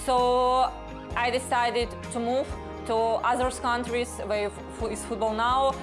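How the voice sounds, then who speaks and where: A woman's voice: on the high side, flat delivery, a little slow. An eighteen-year-old woman from Ukraine with a Ukrainian accent, speaking hesitant English with many fillers as she tells her story in an interview. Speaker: football referee. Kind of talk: interview testimony. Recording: music underneath.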